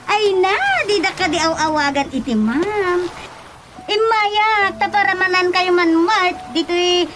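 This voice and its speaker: A woman's voice: very high-pitched, unhurried, heavy background noise. A woman acting a flirty, giggly unmarried village lady in an Ilocano radio comedy, with a coy drawl. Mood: coy, friendly.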